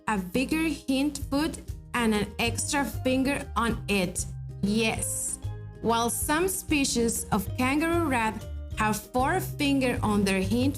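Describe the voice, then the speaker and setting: Woman explaining. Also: a little high. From Mexico. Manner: informative, fast when reciting titles. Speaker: conservation officer. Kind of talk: interview explanation. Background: background music.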